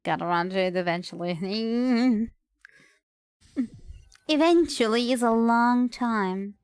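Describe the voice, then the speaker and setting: Casting: female game streamer, higher-than-average pitch, wry casual commentary, dry close sound. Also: slow, darkish tone, clean tone, no room sound, faint music behind. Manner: playful, wry. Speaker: game livestreamer. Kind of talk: casual commentary over gameplay.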